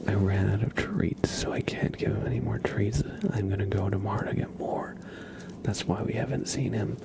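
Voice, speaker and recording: A man streaming ASMR live, chatting casually in a gentle, hushed, breathy whisper. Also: fairly low pitch, steady tone, normal speed.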